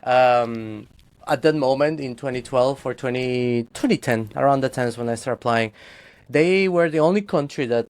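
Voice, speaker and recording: A man's voice: medium pitch, normal speed. A man, a guest chatting candidly in an interview, rambling with restarts and frequent laughs. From Guatemala. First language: Spanish. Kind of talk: casual interview chat.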